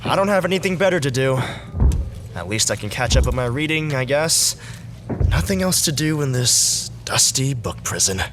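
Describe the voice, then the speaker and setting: An actor playing a sarcastic, nervous young man in an audio drama, whiny and excitable. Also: mid-range voice, moderate pace, background noise, natural voice. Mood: grumbling, nervous. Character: sarcastic, pessimistic, nervous young local guy. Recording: loud music behind the voice.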